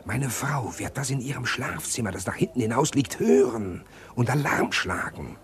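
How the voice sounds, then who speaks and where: A man acting a scheming, respectable middle-class husband in a German radio crime drama, speaking precisely and insistently in a husky voice. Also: mid-range voice, animated, normal speed, natural voice. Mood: insistent, conspiratorial. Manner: precise, insistent, well-spoken.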